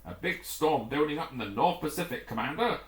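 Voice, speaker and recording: A matter-of-fact rescue crewman voiced by a male reader delivers reports plainly with cautious optimism in storybook dialogue. His intonation is animated and the room rings with echo. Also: on the high side, brisk, lightly acted. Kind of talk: acted adventure story dialogue. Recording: echoey, roomy home recording.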